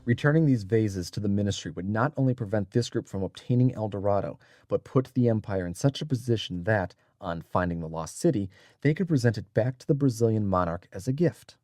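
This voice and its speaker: A man narrating an adventure audiobook in a dramatic, measured and solemn scripted style. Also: fairly low pitch, lively intonation, mellow, dry recording, studio quality.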